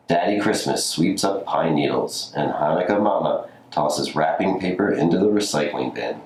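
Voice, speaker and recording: A man with a notably deep voice reads a storybook aloud. The recording is roomy and echoey, with faint noise behind him. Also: a little mellow. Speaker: community story reader. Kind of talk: scripted storybook reading.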